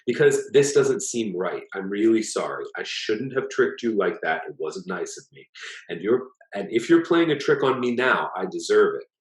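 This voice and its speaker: A man's voice: on the low side, very animated, moderate pace, voice pushed up. Man reading as an earnest young husband, echoey room. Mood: apologetic. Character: prankster husband, sincere-seeming, boyish and apologetic. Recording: echoey, roomy sound.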